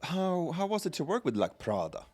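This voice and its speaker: A man hosting a casual interview, chatty and teasing, with frequent quick affirmations. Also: on the high side, very animated, darkish tone. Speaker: host.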